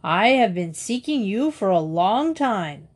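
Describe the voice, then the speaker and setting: A woman's voice: medium pitch, sing-song, unhurried. A woman voicing a watchful, resolute male huntsman in a storybook. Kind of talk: storybook character voice.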